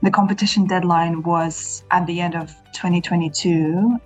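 A woman's voice: on the low side, fairly even intonation, normal speed, a little mellow, faint music behind, poor audio. A woman from Kazakhstan, an architectural designer, speaking in an earnest, reflective way. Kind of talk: interview monologue.

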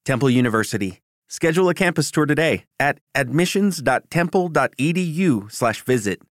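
A man's voice: on the high side, animated, fairly quick, no room sound, studio quality. An American man reading scripted advertisement copy as a commercial announcer.

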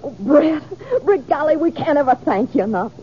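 High-voiced, gushing young frontier gal. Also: female voice, lively intonation, normal speed. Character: gushing, naive, eager young frontier woman. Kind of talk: 1950s radio acting. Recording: dull, crackly old radio recording.

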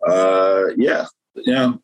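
A man's voice: low-pitched, fairly even intonation, drawn-out words, clear, resonant tone, low-quality recording. A man, a painter, talking casually as an interview guest, rambling with lots of fillers and restarts.

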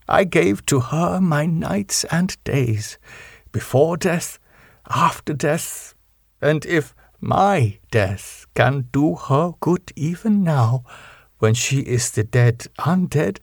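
A man voicing a stern, solemn elderly foreign doctor who pleads gravely in an audiobook story. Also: a little high, dry recording, clean studio sound, exaggerated voice.

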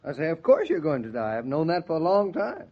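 An actor playing a sensible, good-humored young American husband, matter-of-fact and gently teasing, in 1940s radio drama style. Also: male voice, fairly high pitch, huge pitch swings, brisk, exaggerated voice. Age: young adult. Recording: dull, lo-fi 1940s radio recording.

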